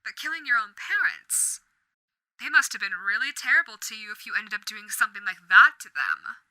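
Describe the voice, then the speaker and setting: A woman performs a suave, sadistic female radio demon with old-fashioned manners and a theatrical, toying delivery. The voice is raised and very bright, recorded dry and close. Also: a little high, very animated, normal speed, dry recording, full character voice, poor audio. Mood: amused. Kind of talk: audio roleplay.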